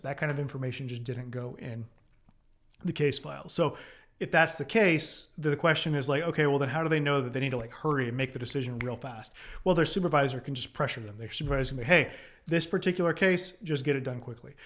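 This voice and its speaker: A man's voice: mid-range voice, fairly quick, fairly bright tone. An American man from Oklahoma giving casual, direct advice to live-stream viewers as a lawyer, reassuring and repeating phrases for emphasis. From Oklahoma, United States. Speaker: immigration lawyer hosting a live Q&A.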